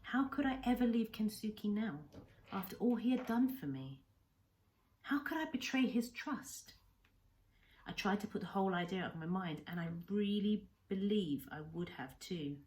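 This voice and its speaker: A woman teacher reading a children's novel aloud as an expressive narrator. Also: medium pitch, moderate pace. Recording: faint background noise and ambience.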